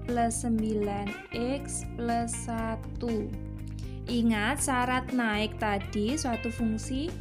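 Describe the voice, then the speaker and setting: A woman teaching a math lesson to students, explaining step by step. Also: on the high side. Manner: patient, explains step by step with frequent "ya".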